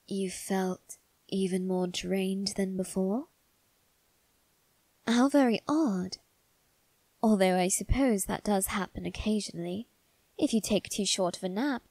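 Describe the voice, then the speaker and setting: A woman voicing a doting, secretive young male vampire aristocrat in a soft, intimate ASMR roleplay, with refined, coaxing phrasing. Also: medium pitch, dry recording. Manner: coaxing, gentle, unhurried, refined phrasing with tag questions. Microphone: close, dry microphone.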